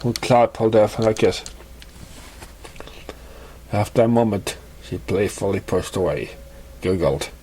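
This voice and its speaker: A man's voice: lowish voice, a little slow, long pauses, warm tone, slightly rough. A man reading a scripted story aloud as a narrator, in a dramatic storytelling style. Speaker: fan fiction reader.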